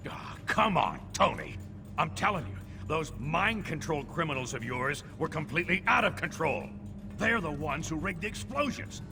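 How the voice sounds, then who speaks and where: A man's voice: fairly high pitch, expressive, moderate pace, vocal fry. A man voicing an insistent, exasperated middle-aged spymaster in a video game cutscene. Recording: long reverb, music behind.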